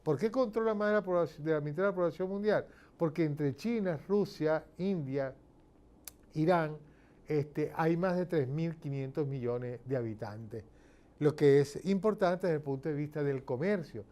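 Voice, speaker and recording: A man's voice: fairly high pitch, moderate pace, mellow. A man with a Venezuelan accent giving an informal explanatory lecture, emphatic and didactic, posing rhetorical questions and checking in with listeners. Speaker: political commentator giving a lecture.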